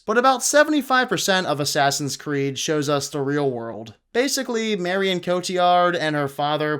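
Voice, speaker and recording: A man's voice: a little high, a bit bright, clear, resonant tone. A man hosting a casual scripted video review, speaking in an emphatic, conversational way. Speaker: film review channel host.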